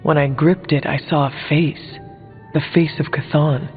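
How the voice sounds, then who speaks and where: An actor playing a solemn, blunt, ancient resurrected warrior in a video game, speaking formally and calmly. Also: male voice, on the high side. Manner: formal, measured, few contractions. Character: centuries-old resurrected male warrior, solemn, blunt, calm. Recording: phone-like thin audio, music behind.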